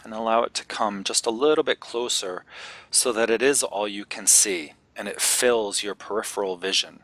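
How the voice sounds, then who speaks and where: Male meditation guide: lowish, crisp-edged bright voice, gentle and unhurried, leaving long pauses. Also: dry recording.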